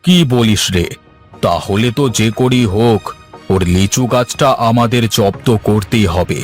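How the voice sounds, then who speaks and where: A man's voice: on the low side, a little slow, soft background music, close to an everyday voice. A man voicing a wicked, greedy village moneylender with a menacing, mock-kindly manner in a cartoon fairy tale. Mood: smug menace.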